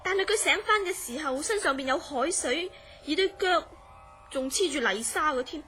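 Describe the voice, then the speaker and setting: An actress playing a curious, easily astonished woman in a horror radio drama, speaking in an expressive, questioning way. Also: high voice, normal speed. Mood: earnest, mysterious. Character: curious, astonished woman recounting a friend's strange dreams. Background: background music.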